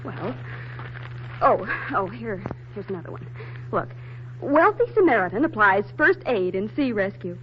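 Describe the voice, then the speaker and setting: A woman's voice: medium pitch, big pitch swings, moderate pace, natural voice. An actress playing a composed, dryly teasing young woman in a 1940s radio drama. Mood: dry, arch. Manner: dry, teasing. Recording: muffled, faintly hissy 1940s radio recording.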